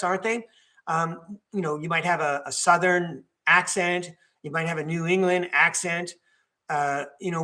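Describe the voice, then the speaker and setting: A man's voice: high voice, bright, forceful tone. An older man, hosting a podcast in a chatty, rambling way with many fillers and restarts. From New York, United States. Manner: digressive, chatty, many fillers and self-corrections. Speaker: podcast host. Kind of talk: rambling solo talk.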